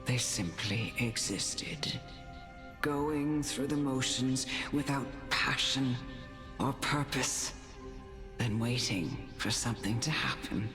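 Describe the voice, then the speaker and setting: A serene fantasy-game noblewoman speaks in a very deep register with slow, measured phrasing. She sounds like a wise, motherly mentor. Long reverb trails her words and music plays clearly behind. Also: heavily acted. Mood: contemplative. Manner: measured, philosophical, formal phrasing. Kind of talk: scripted fantasy game dialogue.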